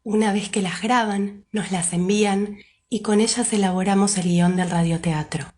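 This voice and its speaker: A woman, a member of a civic association, reading a prepared statement with measured, formal phrasing. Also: medium pitch, fairly even intonation, a little mellow, slight background noise.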